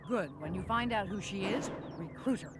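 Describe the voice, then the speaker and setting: An actress playing a commanding, vengeful corporate villain in an animated action series, cold and imperious, with music behind her. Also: medium pitch, moderate pace, lightly acted. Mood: cold scheming.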